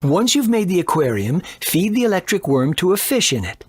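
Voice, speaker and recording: An actor playing an eccentric, boastful doctor and inventor in a video game, brusque and quick to scold. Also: male voice, high-pitched, sing-song, slightly rough, dry recording, studio quality, heavily acted. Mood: brisk. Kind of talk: animated video game voice acting.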